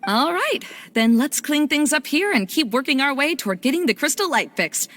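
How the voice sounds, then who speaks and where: An actress playing a kindly, thoughtful young woman in an animated game, speaking in a gentle, explanatory way. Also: high-pitched, normal speed. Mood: practical.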